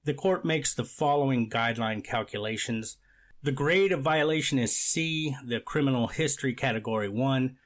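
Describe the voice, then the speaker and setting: Man playing a deliberate, skeptical judge, mid-pitched. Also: lightly acted. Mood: official. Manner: formal, deliberate, hedging and self-correcting. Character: skeptical, deliberative male judge presiding over hearing. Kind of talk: readers theater of a court transcript.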